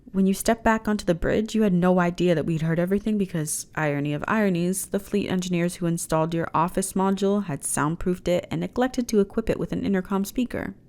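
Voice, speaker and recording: A woman's voice: lowish voice, lightly acted. A woman playing a flirty, reassuring alien starship officer in an intimate ASMR roleplay, talking in a chatty, teasing way. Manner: teasing, chatty, conversational with sighs and soft laughs.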